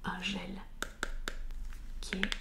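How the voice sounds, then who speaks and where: A woman whispering softly in a breathy, soothing way, explaining treatment like a practitioner in an ASMR medical roleplay. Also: medium pitch, monotone, very slow, noisy background. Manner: soothing, hesitant, explanatory bedside talk. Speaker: ASMR roleplayer as chiropractor and osteopath.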